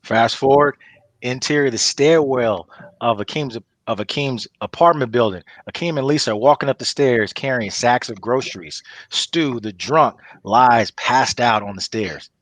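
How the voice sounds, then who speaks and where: A man's voice: mid-range voice, moderate pace, fairly bright tone, no echo. A man narrating the stage directions of a comedy table read, reading scripted lines with casual asides.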